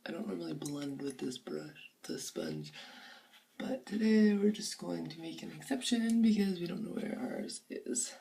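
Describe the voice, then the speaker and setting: A woman's voice: on the low side, sing-song, normal speed, creaky, warm tone, slight background noise. A woman with a groggy, sore-throated voice, chatting casually through a makeup review in her bathroom, rambling and sometimes dropping to near-whispers. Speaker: beauty vlogger. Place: home bathroom. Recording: voice a bit far from the mic.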